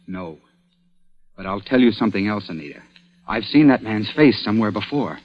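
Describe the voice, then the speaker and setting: An actor playing an agitated, stubborn young nephew, talking emphatically in a vintage radio drama. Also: male voice, on the low side, moderate pace. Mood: baffled, insistent. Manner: emphatic, insistent, excitable. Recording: dull old radio recording.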